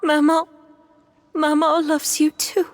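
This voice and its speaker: A woman playing a gentle, devoted, grieving mother in a video game, speaking tenderly and wistfully. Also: high voice, level tone, slow pace, slight background noise. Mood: tender, sorrowful.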